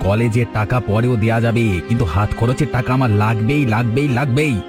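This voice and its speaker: A man's voice: medium pitch, fairly even intonation, a little fast, music in the background, lightly acted. A man voicing a selfish, defiant grown younger brother in a village cartoon, whining and demanding in an insolent way. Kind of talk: melodramatic cartoon voice acting.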